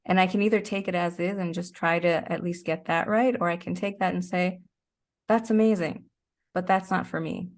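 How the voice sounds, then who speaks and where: A woman's voice: fairly low pitch, steady tone, moderate pace. A woman being interviewed as a guest, explaining things in a casual, reflective way. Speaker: interview guest, performing artist.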